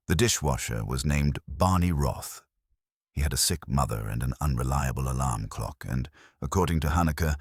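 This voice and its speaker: A man's voice: very deep voice, slightly rough, no echo, studio quality. A man reading a scripted first-person detective story as an audiobook narrator.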